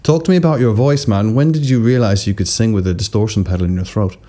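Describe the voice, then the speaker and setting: Low, mellow, raspy-voiced man, laid-back podcast host. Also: fast talker. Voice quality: self-described raspy, lived-in voice. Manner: laid-back, encouraging backchannels. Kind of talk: casual interview chat.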